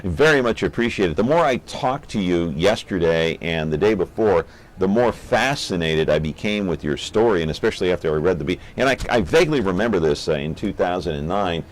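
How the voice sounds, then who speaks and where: This is a man with a fairly low-pitched voice. He works as the host of an interview program. His delivery is conversational and curious, with open enthusiasm for what he presents. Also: expressive, fairly quick, poor audio.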